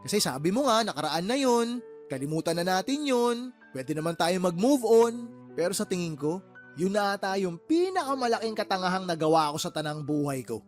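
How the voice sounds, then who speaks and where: A man's voice: high-pitched, sing-song, brisk, faint music behind. A man playing a fed-up, exasperated uncle and boss in a Tagalog radio drama, scolding his sister with heated, sarcastic questions. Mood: angry, fed up.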